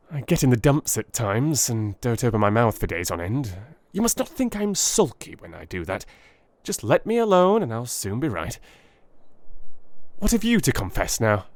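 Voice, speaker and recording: Man acting an animated, eager gentleman detective. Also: mid-range voice, unhurried, no echo, pitched above the performer's own voice. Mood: frank, musing. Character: eager, odd young gentleman detective, frank and brisk. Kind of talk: theatrical audiobook character acting.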